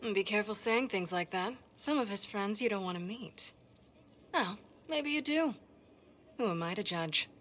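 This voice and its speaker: A woman plays a self-assured fantasy game expert with a mid-range voice. She is brisk and matter-of-fact, with a dry, wry streak. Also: mid-range voice, animated, a bit bright, slight background noise, natural voice. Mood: teasing. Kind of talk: video game voice acting.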